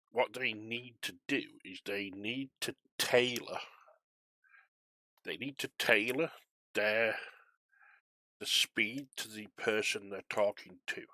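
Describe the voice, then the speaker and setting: A man's voice: on the low side, pushed and bright, no echo. A man chatting casually on a flight simulation stream, rambling and self-deprecating as he talks to viewers while controlling air traffic.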